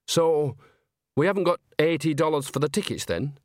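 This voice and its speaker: A man's voice: on the high side, lively intonation, normal speed, no room sound, studio quality, pitched above the performer's own voice, natural voice. A man voicing a chatty, homely, cheeky old woman witch in an audiobook, folksy and rambling. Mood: wheedling, resigned. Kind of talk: audiobook character acting.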